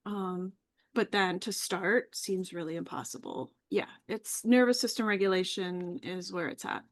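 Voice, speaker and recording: A woman's voice: lowish voice, measured pace. A woman guest talking casually on a live video call. She sounds easygoing and chatty, and laughs readily.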